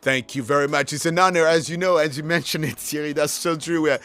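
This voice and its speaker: A man's voice: a little high, steady tone, fast, sharp tone, soft background music. A man hosting a talk show, effusive and emphatic, often repeating his words. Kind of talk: conversational interviewing.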